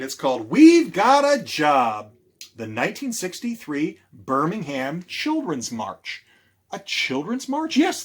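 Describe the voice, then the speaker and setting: A man reads to children as a storytime librarian. His voice sits a little high and swings widely in pitch. He takes his time, with theatrical pauses and questions aimed at young listeners. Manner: theatrical, with dramatic pauses and hushes. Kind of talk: animated children's storytime read-aloud.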